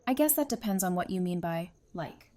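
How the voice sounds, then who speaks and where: A woman's voice: fairly low pitch, lively intonation, brisk, dark tone, natural voice. A woman playing a frank, teasing, friendly nerd in an audio drama, with wry conversational delivery. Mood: candid. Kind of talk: fan audio drama acting.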